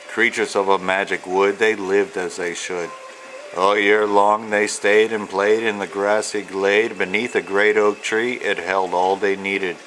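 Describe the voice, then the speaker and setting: A man, an author, presenting and reading a children's storybook in deliberate, measured phrases. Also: lowish voice, fairly bright tone, low-quality recording. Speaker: author and illustrator reading own children's book. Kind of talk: read-aloud storytelling of rhyming children's book.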